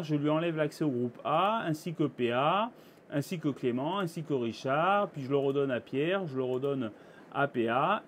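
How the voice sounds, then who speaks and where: A man's voice: on the high side, slight background noise. A man giving an informal demonstration as a tutorial presenter, explaining in an improvised, slightly hesitant way. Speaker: presenter of an equipment tutorial and review.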